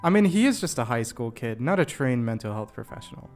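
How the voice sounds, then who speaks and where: A man's voice: mid-range voice, very animated, quick pace, a little mellow. Man narrating a video essay over music. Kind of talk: scripted narration of a game review. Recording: music behind the voice. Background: background music.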